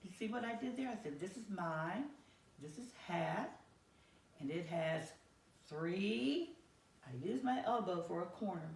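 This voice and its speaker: An American woman telling a story to young children in a simple, deliberate teacherly way, with questions to her listeners. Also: on the low side, expressive, a little mellow. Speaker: children's teacher reading aloud. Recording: roomy echo, distant microphone.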